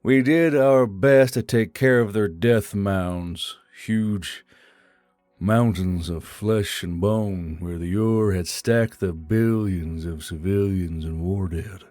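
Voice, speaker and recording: An actor playing a tired, solemn male drill sergeant, giving a grave, deliberate war speech in an audio story. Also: low-pitched, a little mellow, no echo, soft background music. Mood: weary, grim. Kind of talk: dramatic audiobook character reading.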